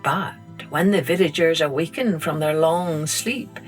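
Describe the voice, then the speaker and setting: A middle-aged woman, reading a children's story gently and expressively as a podcast host. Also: low-pitched, faint music behind. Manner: gentle, slow and expressive read-aloud.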